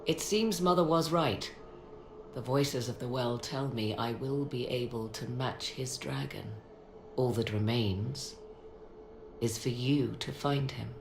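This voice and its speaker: A woman voicing a proud, guarded sorceress in a video game, with formal, deliberate, theatrical phrasing. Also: extremely low pitch, vocal fry, full character voice. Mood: resigned, bitter. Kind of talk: dramatic video game dialogue. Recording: echoey, noisy game scene capture.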